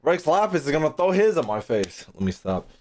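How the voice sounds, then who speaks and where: A man's voice: a little high, sing-song, brisk, darkish tone. A young man giving casual reaction commentary as a gaming YouTuber, slangy, joking and mock-indignant.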